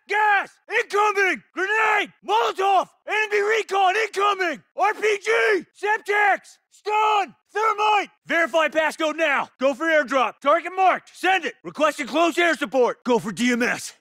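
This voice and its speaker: A tough American soldier in a military shooter game. He gives clipped, commanding combat callouts in a bright, forceful, high-set voice, recorded very dry. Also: animated, unhurried, few pauses, dry recording, exaggerated voice. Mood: urgent shouting. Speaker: video game voice actor.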